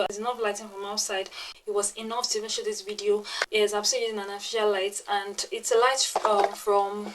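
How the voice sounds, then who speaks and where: A young woman with a Nigerian English accent talks a beginner through video tips in a relaxed, rambling way. She repeats key lines and fills pauses with ums, and her voice sits at an ordinary middle pitch. She records indoors in a plain room on a small external mic. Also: a little hiss or hum, poor audio. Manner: chatty, repeats herself for emphasis, frequent ums. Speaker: tech and lifestyle YouTuber. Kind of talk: casual tutorial to camera. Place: plain-walled bedroom, indoors. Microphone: small external clip-on mic.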